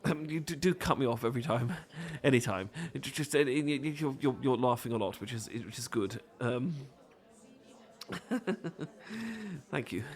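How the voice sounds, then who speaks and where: A man's voice: medium pitch, animated, a little slow, long pauses. A man with a British accent playing a flustered, bashful, adorkable romantic who stammers and rambles apologetically in an intimate ASMR roleplay. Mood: flustered, rambling, then shy, self-deprecating. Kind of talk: intimate ASMR roleplay acting, addressed to listener.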